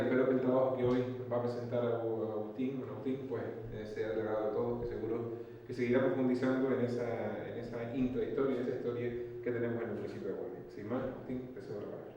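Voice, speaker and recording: A man's voice: medium pitch, dark tone, a little hiss or hum. A man introducing a speaker at a public talk, hesitant with many fillers and restarts. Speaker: event presenter. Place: municipal hall. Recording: echoey hall, distant mic, lo-fi.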